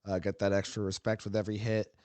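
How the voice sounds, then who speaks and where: A man's voice: on the low side, level tone, quick pace, a little mellow, no room sound. A man co-hosting a casual podcast about a game, rambling with frequent ums and uhs and laughing easily. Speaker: podcast co-host.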